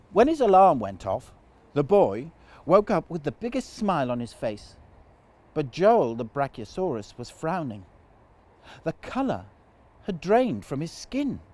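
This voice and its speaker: A man reading a children's story aloud as a storyteller, with dramatic, deliberate phrasing. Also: fairly high pitch, warm tone. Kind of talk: expressive children's story narration.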